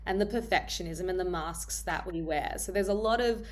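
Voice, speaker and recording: A woman's voice: fairly low pitch, normal speed. A woman hosting a podcast interview in a conversational style, responding and posing questions to her guest. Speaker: podcast host.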